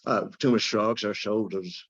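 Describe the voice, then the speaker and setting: A man's voice: on the low side, unhurried, a bit bright, breathy edge. A man reading stage directions as scripted narration at a live screenplay reading. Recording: dull lo-fi audio.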